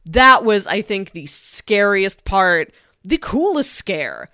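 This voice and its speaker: A woman's voice: mid-range voice, very animated, slow, sharp tone, no echo, studio quality. A woman co-hosting a podcast, chatting casually about a film and acting out bits of the story as she retells it. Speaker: podcast co-host.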